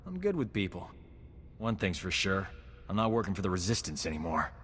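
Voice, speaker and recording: A man's voice: low-pitched, very animated, a little fast, a bit bright, heavily acted. A man playing a suave, cocky combat medic and charmer in a video game. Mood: smooth, cocky. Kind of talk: scripted video game cutscene acting. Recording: hall reverb under clear music.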